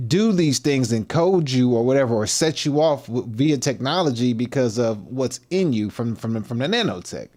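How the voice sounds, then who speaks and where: Man, livestream host, mid-pitched voice, casual slangy rambling, fillers and restarts. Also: a little mellow. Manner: loose and speculative, many fillers and restarts, slangy. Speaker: livestream host reacting to a video.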